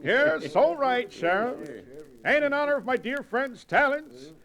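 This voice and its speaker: A man's voice: very high voice, animated, measured pace, gravelly, fairly bright tone, full character voice. A man playing a comic, tippling old western sidekick with flowery, wheedling speech in a vintage radio drama. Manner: flowery, mock-pleading. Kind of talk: 1940s radio western acting.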